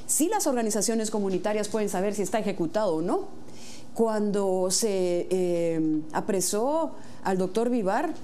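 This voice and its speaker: A woman from Guatemala, a mayor-elect, giving earnest explanatory answers in a studio interview, often pausing on "eh". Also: mid-range voice, dark tone, a little hiss or hum. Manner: earnest, explanatory, frequent "eh" hesitations.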